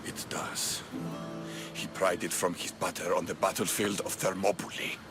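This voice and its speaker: An actor playing a proud, earnest Spartan military leader in a video game, speaking tersely. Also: male voice, sits low, level tone, moderate pace, over music, close to an everyday voice. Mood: serious. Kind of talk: video game cutscene acting.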